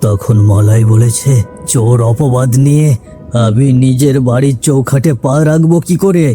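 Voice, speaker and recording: A man's voice: mid-range voice, moderate pace, music in the background, lightly acted. A man playing an earnest, guilt-laden older village man explaining things in a cartoon story. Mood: grave, explaining.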